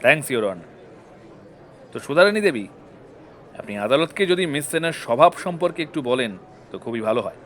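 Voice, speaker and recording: Man playing a brisk, polite defence lawyer. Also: a little high, lively intonation, close to an everyday voice. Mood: polite probing. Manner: courteous, methodical, formal. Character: polite, probing defence lawyer questioning a witness. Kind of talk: Bengali audio-story courtroom acting.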